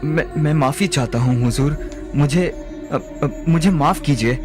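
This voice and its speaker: A man playing a greedy, stubborn, scheming moneylender in an animated moral story, insistent yet obsequious. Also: a little high, unhurried, natural voice. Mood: nervous pleading. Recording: music bed behind the voice.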